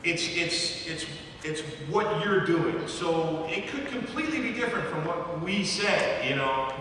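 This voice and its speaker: A man who works as a voice actor, chatting and bantering on a convention panel in an excitable, jokey way that repeats words. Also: a little high, a little slow. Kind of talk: casual, bantering panel talk. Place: large convention hall. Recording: distant, very echoey noisy hall.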